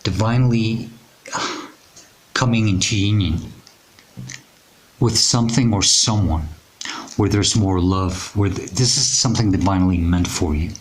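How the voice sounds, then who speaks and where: A man with a raspy, hoarse voice giving a tarot reading, speaking in a reassuring, unhurried way with many pauses and fillers. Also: lowish voice. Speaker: tarot reader. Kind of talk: intuitive tarot reading to camera.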